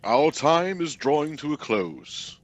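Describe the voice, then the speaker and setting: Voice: medium pitch, animated, slow pace. A man playing a solemn, guiding Christmas spirit in a radio play. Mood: solemn, indulgent.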